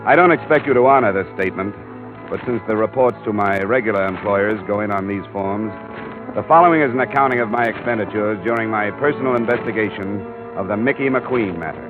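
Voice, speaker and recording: A hard-boiled freelance investigator played by a man in vintage radio drama style, with a low voice. He is easygoing with friends and dry in narration. The old recording is narrow and muffled, with music. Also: fairly quick, close to an everyday voice. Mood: dry, narrating. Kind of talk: 1950s radio detective drama acting, first-person narration.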